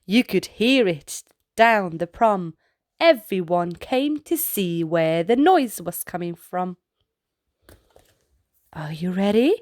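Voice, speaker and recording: A woman reading a children's picture book aloud as a storytime host, in an expressive way with exclaimed sound-effect words. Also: on the low side, no room sound, clean studio sound. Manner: slow, deliberate delivery for young children.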